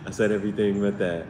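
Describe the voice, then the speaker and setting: Deep-voiced man rambling in a roomy, noisy recording. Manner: repetitive, tangential, slangy asides. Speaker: online tarot reader and live stream host. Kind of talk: rambling casual live stream chat. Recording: roomy, distant mic, noisy background with vehicle rumble.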